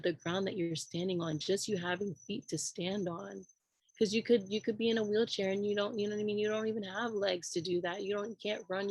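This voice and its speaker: A hoarse woman co-host and mother with an American accent, rambling in casual chat with many fillers. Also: mid-range voice, darkish tone, no room sound, poor audio. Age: adult with children. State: hoarse, losing her voice. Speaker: podcast co-host.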